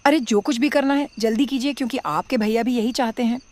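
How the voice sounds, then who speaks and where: A woman's voice: a little high, fairly quick, a little mellow, natural voice. A woman playing a scheming, complaining young daughter-in-law in a cartoon story. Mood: urging. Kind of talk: Hindi cartoon moral story dialogue.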